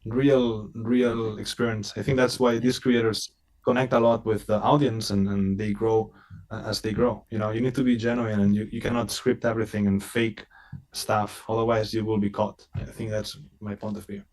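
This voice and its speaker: Earnest non-native English-speaking company rep. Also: male voice, fairly low pitch, little pitch movement, normal speed, a little mellow. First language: not English. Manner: earnest, repeats words while speaking. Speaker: company representative guest. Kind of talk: casual live stream chat.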